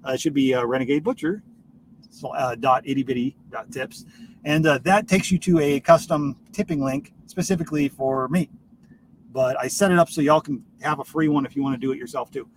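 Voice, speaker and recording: A man's voice: medium pitch, a little fast. Man rambling, far from a noisy mic. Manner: informal, swears casually. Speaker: podcast host. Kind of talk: casual rambling solo podcast talk. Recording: noisy, distant microphone.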